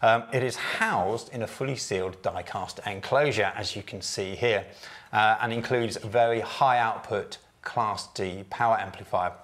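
Low-voiced man presenting. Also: moderate pace, a bit bright. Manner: explanatory, conversational. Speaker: product presenter. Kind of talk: informal scripted product demonstration. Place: office meeting room, indoors. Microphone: lapel.

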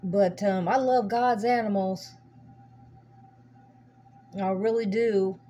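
A woman's voice: medium pitch, fairly even intonation, slow, mellow, highs cut off. A woman narrating a home video in casual, folksy, rambling chat, often repeating her words. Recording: humming noisy amateur recording.

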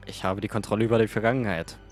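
A man's voice: on the low side, steady tone, very fast. A man co-hosting a live stream in casual banter. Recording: music behind the voice. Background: music.

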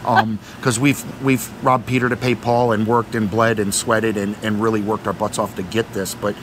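A middle-aged man explains things in a loose, rambling way, doubling back on words. He sits outdoors at a marina, picked up by a camera a few meters off. Clear outdoor noise runs under his voice. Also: fairly low pitch, level tone, moderate pace. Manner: talkative, repeats words, many fillers. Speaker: vlog co-host. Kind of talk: casual explanatory chat. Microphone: fixed camera at a few meters.

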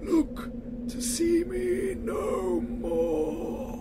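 A man voicing a tormented old male ghost dragging chains, with slow, drawn-out wailing and anguished cries, in a noisy audiobook recording. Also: extremely high pitch, very animated, voice pushed up, full character voice. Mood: doom-laden. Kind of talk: audiobook reading.

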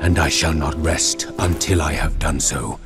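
A man's voice: a bass voice, measured pace, music in the background. An actor playing an imperious, suspicious emperor in a fantasy game, blustering and formal in his commands. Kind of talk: fantasy game cutscene acting.

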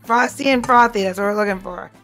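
A woman's voice: mid-range voice, fast, a bit bright, faint music behind. A woman co-hosting a podcast as bartender, casually talking listeners through a cocktail demonstration in a chatty way. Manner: chatty, talks the listener through each step.

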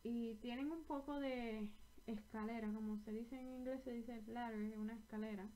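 A young Puerto Rican woman talks to her webcam in a small bedroom, her voice sitting a little high. She chats in a loose, rambling way, often stopping to correct herself mid-phrase. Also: steady tone, normal speed, mellow. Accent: Puerto Rican Spanish. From Puerto Rico. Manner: chatty, rambling, self-correcting. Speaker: knitting vlogger. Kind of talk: casual chat to camera.

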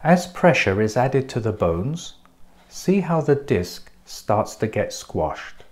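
A man in his forties presenting a clinic's treatment in a measured, explanatory scripted voice, recorded on a lapel mic in a bright treatment room. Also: mid-range voice, sing-song. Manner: measured, explanatory, pointing things out.

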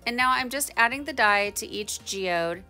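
A woman's voice: on the high side, expressive, moderate pace, bright, forceful tone, soft background music. A woman giving a chatty, informal instructional voice-over as a craft tutorial host. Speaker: tie-dye tutorial host.

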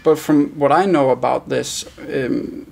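A man's voice: medium pitch, fairly even intonation, unhurried, creaky, a little mellow. A man in his thirties hosting a casual question-and-answer interview. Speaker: host, interviewer.

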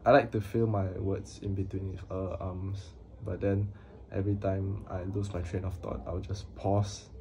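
Hesitant young man, flat monotone voice. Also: sits low, slight background noise. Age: young adult. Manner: hesitant, halting, many pauses and fillers. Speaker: vlogger. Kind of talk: casual talk to camera. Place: small room indoors.

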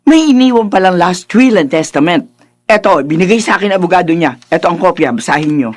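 An actress playing a gossipy aunt with exclamatory, dramatic delivery in a Filipino radio drama. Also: female voice, on the low side, lively intonation, brisk. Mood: alarmed, urgent. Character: breathless gossipy aunt bearing shocking news.

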